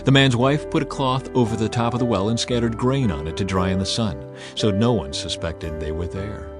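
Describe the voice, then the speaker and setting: A man reading scripture as a dramatized audiobook narration, measured and emphatic in his storytelling. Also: fairly low pitch. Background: music under the voice.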